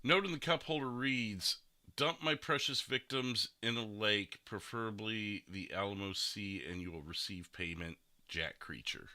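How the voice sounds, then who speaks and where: A man's voice: fairly low pitch, measured pace, pushed and bright, no echo. A man hosting a stream, chatting casually and telling stories in a rambling, chuckling way. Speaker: host and streamer. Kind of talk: casual podcast chat over game roleplay.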